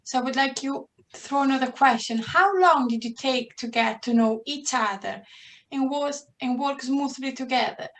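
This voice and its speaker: Quick-speaking woman lecturer. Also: sits high, a bit bright. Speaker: accounting and finance lecturer.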